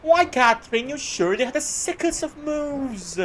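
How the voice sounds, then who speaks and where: A man doing a gushing high posh aristocrat. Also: big pitch swings, fairly bright tone, soft background music, exaggerated voice. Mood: grandiose. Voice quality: theatrical, drawn-out, exaggerated formal diction.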